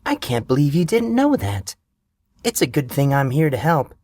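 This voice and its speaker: A man voicing a playful, teasing high school girl who bosses her friend around. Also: sing-song, normal speed, a little mellow, dry recording, clean studio sound. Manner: teasing, bossy.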